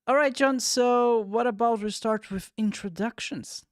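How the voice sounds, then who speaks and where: A man's voice: very high-pitched, a little slow, dry recording, studio quality. A man hosting a podcast, chatty and eager as he greets listeners and interviews his guest. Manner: eager, chatty, asks for engagement. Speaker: podcast host.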